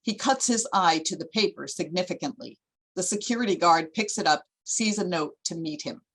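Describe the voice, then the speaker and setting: Lower-voiced woman reading stage directions. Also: lively intonation, normal speed, a bit bright, breathy edge, rough recording. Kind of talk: scripted screenplay narration.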